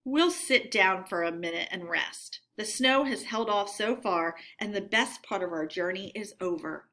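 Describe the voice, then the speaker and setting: A woman narrating a storybook read-aloud in an expressive, measured, teacherly way. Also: mid-range voice.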